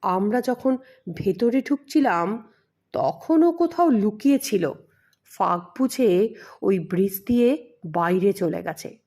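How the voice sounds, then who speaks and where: A woman's voice: medium pitch, expressive, slow, long pauses, mellow. A woman voicing a menacing, bullying male hired thug in an audio story. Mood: frustrated. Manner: bullying, demanding.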